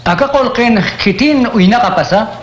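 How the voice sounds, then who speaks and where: Un hombre interpreta a Jesús enseñando con calma y tono instructivo en un radioteatro cristiano. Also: male voice, extremely high pitch, normal speed. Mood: teaching. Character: Jesus, calm adult male teacher. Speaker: voice actor. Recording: echoey room.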